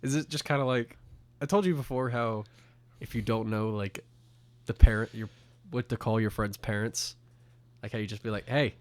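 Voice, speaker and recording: A man's voice: lowish voice, steady tone, moderate pace, pauses often. A young man with a light Southern accent, co-hosting a casual podcast chat and laughing often. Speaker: podcast co-host.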